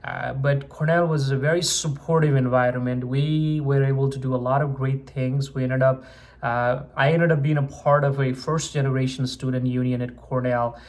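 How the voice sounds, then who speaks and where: A man's voice: medium pitch, steady tone, normal speed. A man in his twenties from Nepal, a medical student telling his own story on his channel in an earnest way, with many fillers and restarts. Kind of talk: personal story told to camera.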